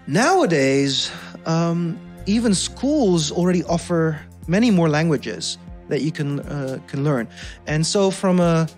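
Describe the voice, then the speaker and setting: A man's voice: on the high side, expressive, slow, a little mellow. Young Dutch-accented man, lightly raised voice, reflective guest with faint music under him. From Netherlands. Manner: thoughtful, many restarts and fillers, laughs easily. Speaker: podcast guest. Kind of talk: casual conversational interview.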